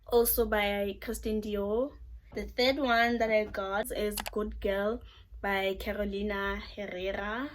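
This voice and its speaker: A young woman from South Africa talks through a product review in a candid, opinionated way, as if chatting with friends. She speaks at an unhurried, easygoing pace at a middle pitch. A faint hiss sits under her voice. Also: poor audio. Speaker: beauty YouTuber. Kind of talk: casual product review vlog.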